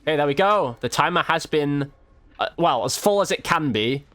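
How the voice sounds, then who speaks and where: A man's voice: a little high, animated, normal speed, fairly bright tone, dry recording. A man giving casual, informal gameplay commentary as a video host, with slang and mild swearing.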